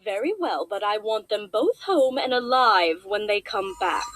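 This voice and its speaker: A woman's voice: mid-range voice, expressive, unhurried, a bit bright, no echo, low-quality recording. A woman voicing a protective, dry pony landlady in a cartoon, speaking firmly. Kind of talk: cartoon acting.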